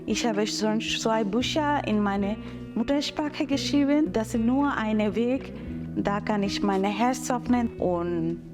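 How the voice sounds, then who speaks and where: This is a Bengali-accented woman in her fifties chatting in halting German, warm in emotion and given to drama. Her voice sits somewhat high, with clear music behind her. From Bangladesh. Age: 50s. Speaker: guest, mother. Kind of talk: casual chat.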